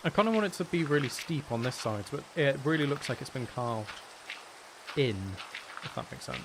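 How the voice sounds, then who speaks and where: A man's voice: medium pitch, moderate pace. A man giving casual live game commentary, thinking aloud in a playful, self-deprecating, rambling way. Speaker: game streamer. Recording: steady rain noise behind the voice. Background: rain sounds.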